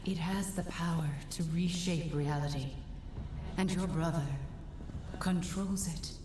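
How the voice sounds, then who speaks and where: Woman playing a low, echoing, solemn female sanctum spirit. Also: fairly even intonation, noisy background, lightly acted. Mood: calm, grave. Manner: measured, solemn, formal. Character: grave, skeptical female sentient sanctum, wary ally. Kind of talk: video game cutscene acting. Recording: echoing voice under game music.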